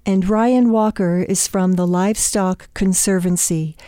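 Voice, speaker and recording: A woman's voice: mid-range voice, steady tone, unhurried, dark tone, no echo, studio quality. A woman hosting an interview, reading scripted introductions and asking curious questions. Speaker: host.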